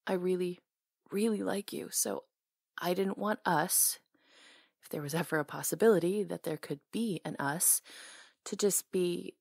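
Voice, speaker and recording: Female performer as flirty, affectionate salon owner, ASMR romance roleplay. Soft, husky-breathy, slightly low, unhurried, teasing and intimate. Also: no echo, clean studio sound, exaggerated voice. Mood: tender, sincere. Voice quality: soft, breathy, sometimes whispery. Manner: teasing, hesitant pauses, intimate. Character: flirty, tender salon owner confessing her crush.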